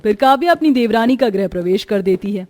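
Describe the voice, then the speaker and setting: A woman's voice: mid-range voice, expressive, a little fast, a little mellow, no echo, studio quality. A woman narrating a scripted moral story.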